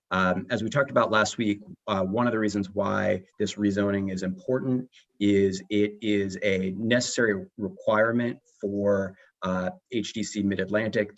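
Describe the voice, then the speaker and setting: A man briefing a city council in a formal meeting, pausing often with fillers as he explains. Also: lowish voice. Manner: halting, many uh and um fillers. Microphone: remote video call. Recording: muffled video call audio.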